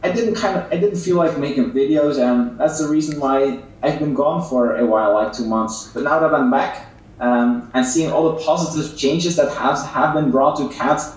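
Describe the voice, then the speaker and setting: Flemish young man, Dutch native, polite hesitant interviewee, slightly high voice, very echoey distant audio. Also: moderate pace, muffled recording. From West Flanders, Belgium. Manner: polite, hesitant, many fillers. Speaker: interviewed YouTuber. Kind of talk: casual interview over a call. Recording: distant echoey call mic.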